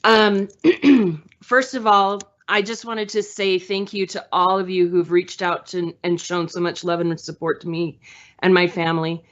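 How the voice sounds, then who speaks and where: A woman school board member joining a meeting by video call, giving her remarks haltingly with frequent pauses and fillers. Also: on the low side, level tone, a bit bright, muffled recording.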